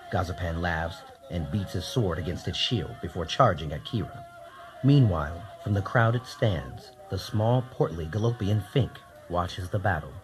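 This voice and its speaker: Really low voiced guy narrating an old style sci-fi radio play, dramatic storyteller. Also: noisy background. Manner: theatrical, deliberate storytelling.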